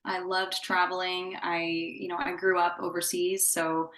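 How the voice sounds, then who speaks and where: A woman's voice: fairly low pitch, little pitch movement, a little slow, fairly bright tone. Chatty woman therapist in an echoey room. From grew up in Indonesia. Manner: chatty, upbeat, with fillers and light laughs. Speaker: psychotherapist guest. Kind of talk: casual interview talk.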